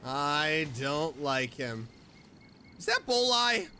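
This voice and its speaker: Woman gamer chatting casually, bright voice, kinda slow, sceptical and blunt. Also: deep voice, sing-song, slight background noise. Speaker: streamer playing and reading along. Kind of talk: casual reactions to a game.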